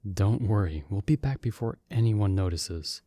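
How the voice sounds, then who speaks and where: A man's voice: lowish voice, normal speed, mellow, no echo, studio quality, natural voice. A man playing a playful young man, the hero's friend who was summoned along with him, in an ASMR audio roleplay. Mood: hushed, urging. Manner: teasing, friendly and upbeat. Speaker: voice actor.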